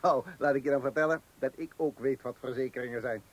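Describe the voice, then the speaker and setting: An actor playing a meddling, boastful know-it-all neighbour in a radio comedy play, pompous and pushy. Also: male voice, mid-range voice, fast talker, muffled recording, natural voice. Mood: boastful, scolding.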